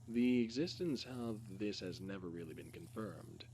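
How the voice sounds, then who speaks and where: A man reads a scripted case file as narration, in a halting, word-by-word way. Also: on the low side, animated, mellow. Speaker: reader. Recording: clearly noisy recording with hum.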